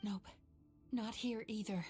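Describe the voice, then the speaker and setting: A woman playing a composed, knowing nightmare eater in a video game, calm, measured and quietly compassionate. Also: mid-range voice, over music, close to an everyday voice. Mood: calm, serious. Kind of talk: polished video game voice acting.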